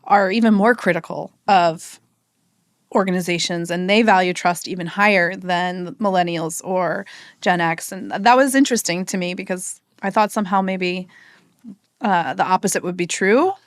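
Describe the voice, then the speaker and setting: A woman's voice: fairly low pitch, normal speed, no echo, clean studio sound. A woman, a marketing executive chatting as a podcast guest, thinking aloud with fillers and "right?" tags. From United States. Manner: conversational, thinks aloud with fillers and tag questions. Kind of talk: casual interview conversation.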